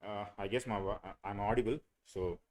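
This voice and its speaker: A man's voice: fairly low pitch, steady tone, brisk, darkish tone, slight background noise. A young man, teaching a live online class on a video call, hesitant with many fillers and restarts. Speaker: online course instructor.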